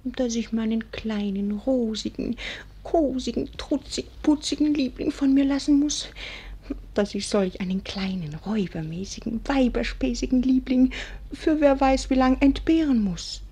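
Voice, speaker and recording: An actress playing a coquettish, tender young countess in a 1940s German radio play, cooing and often whispering. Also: female voice, fairly high pitch, moderate pace, mellow. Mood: tender, wistful. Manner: breathy, whispering, cooing endearments.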